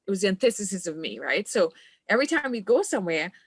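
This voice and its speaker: A woman's voice: medium pitch, sing-song, fast, breathy edge, no echo, studio quality. Reflective woman guest from Trinidad. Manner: reflective, earnest, laughs often. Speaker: guest, coach. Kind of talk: podcast conversation.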